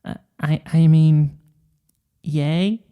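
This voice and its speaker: A man voicing a shy, timid, soft-spoken cartoon girl who stammers hesitantly in a story reading. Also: fairly high pitch, fairly even intonation, drawn-out words, clean tone. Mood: shy, startled. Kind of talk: fan fiction story reading.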